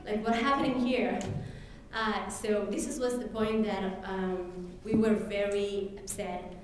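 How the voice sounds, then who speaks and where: A woman's voice: mid-range voice, fairly even intonation, slight background noise. Echoey distant woman telling her story. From Ethiopia. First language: Amharic. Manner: halting, many fillers and restarts. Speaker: speaker sharing her story. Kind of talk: personal testimony speech. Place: event hall. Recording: very echoey room, distant mic.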